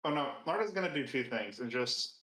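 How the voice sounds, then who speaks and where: A man's voice: mid-range voice, expressive, a little fast, bright, forceful tone. A man playing a tabletop roleplaying game, talking casually as he describes his character's actions. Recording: roomy, echoing sound.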